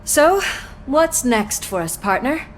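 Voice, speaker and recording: A woman's voice: high voice, big pitch swings, takes it slowly, slight background noise, full character voice. A woman acting a supportive, teasing police partner in a video game, informal and wry. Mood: ready, informal.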